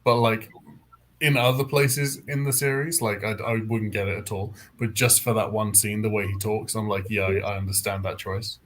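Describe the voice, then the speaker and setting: A man's voice: fairly low pitch, steady tone, moderate pace. A man hosting a book readalong, talking casually about novels in a group discussion. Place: indoors, online group call.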